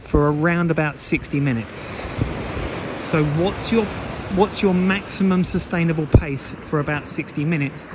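Higher-pitched man, emphatic, in noisy wind. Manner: emphatic, repeats phrases, asks rhetorical questions. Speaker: running coach and vlogger. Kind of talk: opinionated off-the-cuff advice monologue to camera. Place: outdoors on a beach walk. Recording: windy, noisy outdoor mic, rumbling background. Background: wind on the microphone, ambient noise.

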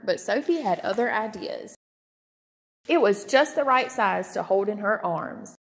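A woman narrating a children's storybook aloud, expressive and clearly phrased. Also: fairly high pitch, long reverb tail. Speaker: storybook reader.

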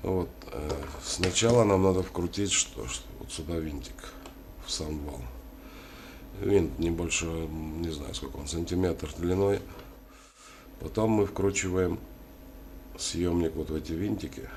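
A man with a cold giving a casual hands-on tutorial, halting and thinking aloud as he works. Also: low-pitched. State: has a cold. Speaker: DIY tutorial host. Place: indoors at a wooden worktable. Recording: clearly noisy home recording.

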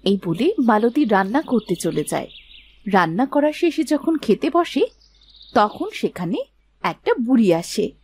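A woman's voice: on the high side, very animated, a little slow, a little mellow, slightly rough. A woman narrating a scripted folk tale in a storytelling style.

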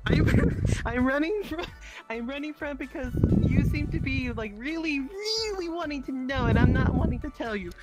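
A woman's voice: sits high, lively intonation, normal speed, poor audio. A woman improvising in a roleplay, insistent, repeating herself in a flustered way. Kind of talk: improvised virtual reality roleplay. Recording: music behind the voice. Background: clear background music.

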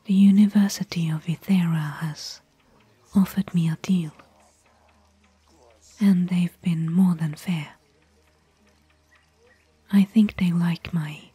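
A woman playing a gentle, bookish desert explorer and caring companion in a soft, whispered ASMR roleplay. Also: fairly low pitch, dry recording, full character voice. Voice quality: breathy, whispered. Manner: slow, gentle, pausing between short phrases.

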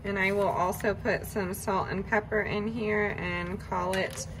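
A woman chatting casually as she walks through her meal prep in a home kitchen, relaxed and full of fillers. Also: mid-range voice, measured pace, background noise. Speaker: vlogger cooking meal prep. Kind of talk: casual cooking narration.

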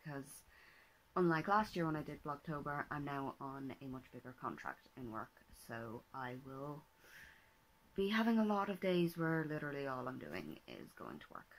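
A young woman in her twenties, hoarse and low-pitched, rambling cheerfully to her camera from a small bedroom. She talks in loose, self-deprecating asides full of ums, and a faint hiss sits under her voice. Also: rough recording. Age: 20s. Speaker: vlogger. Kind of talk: casual vlog chat. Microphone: laptop or phone camera at desk.

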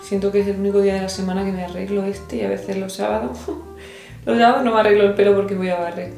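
A woman's voice: medium pitch, a little mellow. A woman in her thirties with an accent from Spain, chatting casually and explaining things to camera as a vlogger.

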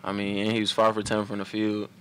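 Young man, low voice, flat delivery, fast, post-game press conference, table mic. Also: slight background noise. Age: young adult. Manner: relaxed, answers in long run-on stretches. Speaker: college basketball player. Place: press room at a table before a logo backdrop.

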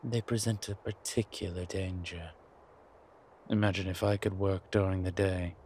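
A man playing a centuries-old vampire in a roleplay audio drama, suave, wry and protective, speaking in a measured, theatrical way with deliberate pauses. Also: deep voice, level tone, a little mellow, lightly acted. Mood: grave, reluctant. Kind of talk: scripted roleplay audio drama addressed to the listener. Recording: clear background ambience noise.

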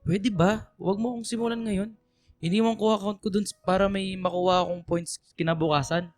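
A man's voice: high voice, fairly even intonation, brisk, no echo, close to an everyday voice. Irritable, controlling Filipino boyfriend, acted by a man, music underneath. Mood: defensive, curt. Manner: curt, nagging, defensive. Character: irritable, controlling older boyfriend, gamer, dismissive and defensive. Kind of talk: Filipino radio drama acting.

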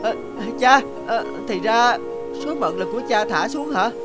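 An actor voicing a lazy, complaining young peasant son in an animated folk tale, with a whiny, grumbling manner. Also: medium pitch, a little slow, lightly acted. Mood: puzzled, grumbling. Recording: loud music under the voice.

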